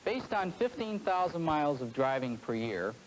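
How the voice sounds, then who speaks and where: A man's voice: on the high side, very animated, moderate pace, a little hiss or hum, low-quality recording. A man speaking as a salesman, giving an earnest, explanatory scripted testimonial on a 1970s film soundtrack.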